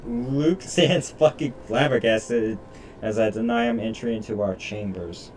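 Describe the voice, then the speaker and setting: A man's voice: mid-range voice, measured pace, darkish tone, a little hiss or hum. A man live-streaming a game, reading the story aloud with stumbles and sweary commentary. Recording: distant mic, game music behind. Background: game music.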